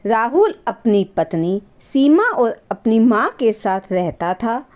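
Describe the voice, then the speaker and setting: A woman narrating a scripted story as a host, expressive and speaking directly to her listeners. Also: on the high side, slow pace, highs cut off.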